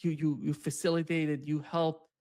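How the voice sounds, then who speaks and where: A man giving unscripted political commentary in a halting way, repeating his words. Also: on the high side, level tone, darkish tone.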